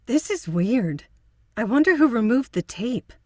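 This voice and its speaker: A woman's voice: a little high, big pitch swings, a little slow, no room sound, studio quality. A woman playing an earnest, affectionate psychotherapist in audio drama dialogue. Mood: relieved, puzzled.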